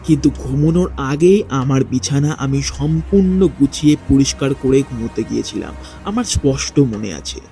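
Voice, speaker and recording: A man narrating a first-person ghost story in a dramatic, suspenseful way with deliberate pauses. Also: mid-range voice, lively intonation, creaky, warm tone. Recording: faint music behind the voice. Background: faint background music.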